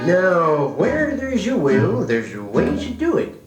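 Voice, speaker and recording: An actor playing a wise old owl on a children's storybook record, folksy and scolding, in rhythmic half-sung patter. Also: male voice, sits high, huge pitch swings, takes it slowly, exaggerated voice. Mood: spirited, instructive. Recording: vintage record, loud music, roomy.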